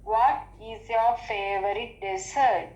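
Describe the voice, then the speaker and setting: A woman's voice: medium pitch, steady tone, slow pace, darkish tone. A woman from Sri Lanka with a Sri Lankan accent, a student who hesitantly repeats each question before she answers it. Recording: dull, echoey call audio.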